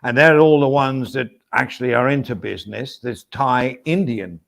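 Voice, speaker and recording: A man's voice: mid-range voice, normal speed, gravelly. A man hosting a livestream, chatting casually and reading out viewer comments. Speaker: stream host. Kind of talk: casual chat.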